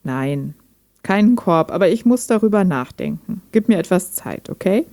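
Low, close female chatbot, acted by a woman. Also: lively intonation, normal speed, dark tone, no room sound. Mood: hesitant, apologetic. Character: gentle, guarded female chatbot talking like a human. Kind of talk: intimate German radio play dialogue.

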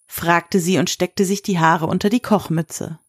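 A woman narrating a scripted story in a measured, literary reading style. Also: lowish voice, steady tone, husky, no echo, clean studio sound.

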